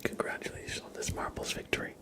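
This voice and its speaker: A man's voice: fast, bright, forceful tone. A man hosting an ASMR live stream, giving mostly whispered, mock-dramatic game commentary.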